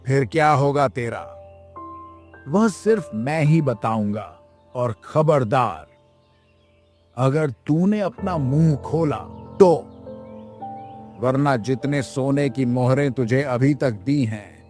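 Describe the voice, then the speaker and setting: A man's voice: mid-range voice, expressive, slow pace, pauses often, a little mellow, faint music behind. A man voicing a lazy, arrogant, hot-tempered young prince in a children's moral story, with a haughty, bullying manner. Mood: threatening.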